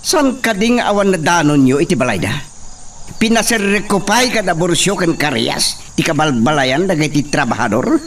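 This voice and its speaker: Man acting as a ruthless young master, wildly swinging pitch. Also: very animated, normal speed, fairly bright tone. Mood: angry, demanding. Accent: Ilocano. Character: ruthless, scheming, hot-tempered young landowner's son. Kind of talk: radio-style Ilocano drama acting. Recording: distant mic, noisy, chirping crickets behind.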